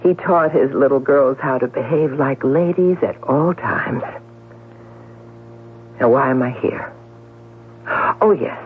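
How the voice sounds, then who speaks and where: A woman's voice: rumbling low, slow, long pauses. An actress in a 1970s radio drama playing a cool, tipsy, menacing woman who speaks with mocking precision. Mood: sardonic. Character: cool, sardonic, tipsy woman threatening murder at gunpoint. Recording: tinny, noisy old radio broadcast.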